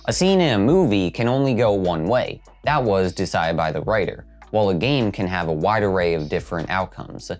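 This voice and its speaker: A man's voice: low voice, lively intonation, a little fast, hardly any pauses, a little mellow. A man hosting a video essay, reading scripted narration. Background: background music.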